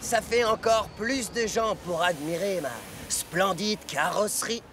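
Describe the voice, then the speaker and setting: Vain, sharp-voiced steam engine. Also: male voice, high-pitched, a little slow, slight background noise. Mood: boastful. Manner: pompous, haughty. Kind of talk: dubbed children's cartoon acting.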